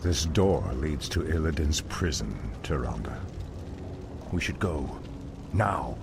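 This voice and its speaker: An actor playing a stern, commanding ancient male elf archdruid with a gravelly voice, in a game cutscene. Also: a bass voice, lively intonation, slow pace, noisy background, exaggerated voice. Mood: urgent. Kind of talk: video game cutscene acting. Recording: game audio with music underneath.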